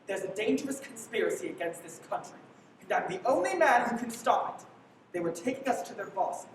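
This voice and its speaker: In a big echoing hall, far from the mic, a young woman plays a brash fugitive man in a radio play. The delivery is sardonic and bossy, swinging widely in pitch. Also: animated, a little fast, close to an everyday voice. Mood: exasperated, earnest. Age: teens. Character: brash sardonic man on the run, wrongly accused. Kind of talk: live stage radio play acting. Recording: echoey hall from far off, faint rumble.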